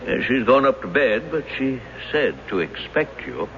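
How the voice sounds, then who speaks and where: A man's voice: mid-range voice, very animated, moderate pace, a bit bright. An actor in a radio drama playing a genial, folksy old retired bank man who coaxes like a crafty confessed robber. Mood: folksy, welcoming. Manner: folksy, coaxing. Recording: dull, noisy old radio broadcast.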